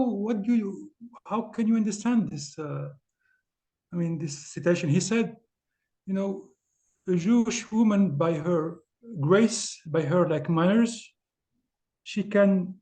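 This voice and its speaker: A man from Tunisia speaking English as an on a video call, halting with many fillers and restarts. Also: high voice, dark tone, poor audio. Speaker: class participant. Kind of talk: casual discussion on a video call.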